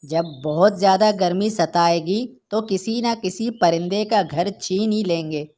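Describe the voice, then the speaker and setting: A woman's voice: lowish voice, unhurried, mellow, close to an everyday voice. A woman voicing a wary, plain-spoken bird neighbour in a cartoon, firm and earnest. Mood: wary, indignant.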